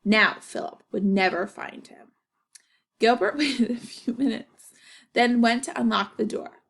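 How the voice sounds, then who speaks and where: Female children's storyteller, slightly high pitch with noticeable fry, narration with friendly asides to listeners. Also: measured pace. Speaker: storyteller reading a children's picture book aloud. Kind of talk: animated read-aloud narration with asides.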